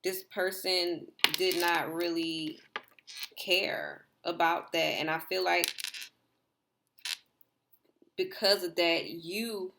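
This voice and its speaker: A woman giving a rambling, casual tarot reading to her viewers, her voice slightly hoarse. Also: lowish voice, fairly even intonation, extremely slow, pauses often, noisy background. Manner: rambling, repeats herself, filler "like". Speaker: tarot reader.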